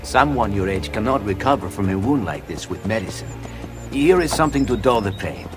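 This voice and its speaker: An actor playing a matter-of-fact Renaissance doctor in a video game cutscene. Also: male voice, on the low side, animated, fairly quick, music in the background, natural voice. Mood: clinical.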